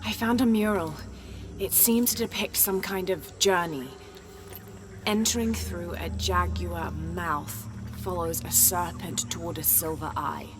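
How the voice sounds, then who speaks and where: A woman's voice: fairly low pitch, steady tone, a little slow, close to an everyday voice. A woman with an English accent playing a determined young archaeologist adventurer in a naturalistic video game cutscene. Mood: thoughtful. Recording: loud music under the voice.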